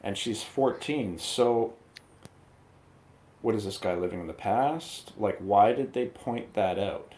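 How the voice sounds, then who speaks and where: A man with a deep, low-pitched voice talks casually in a film review. His delivery is halting, as he searches for the right words while he explains. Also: a little mellow. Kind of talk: casual movie review chat.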